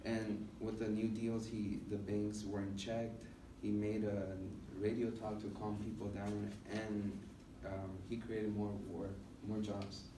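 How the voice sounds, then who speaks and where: Halting young man, flat low-key delivery, distant mic. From United States. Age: young adult. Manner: halting, hesitant delivery with many filled pauses. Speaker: college student giving a speech class presentation. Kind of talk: informal classroom presentation speech. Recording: roomy, noisy classroom recording from a distance.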